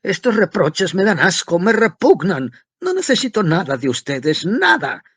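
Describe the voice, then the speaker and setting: A man reading aloud and voicing a proud, hot-tempered young student in a strained, high outburst. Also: sing-song, measured pace, a bit bright, breathy edge, no echo, heavily acted. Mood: indignant.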